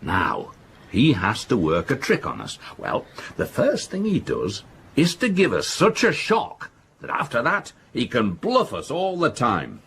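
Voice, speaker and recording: A man's voice: mid-range voice, huge pitch swings, measured pace, creaky, fairly bright tone, a little hiss or hum, full character voice. An older man playing a self-assured, blustering father in a period radio drama, arguing emphatically and dismissively. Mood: triumphant bluster. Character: blustering, self-assured middle-aged father of a well-to-do family. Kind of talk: period stage-play radio drama acting.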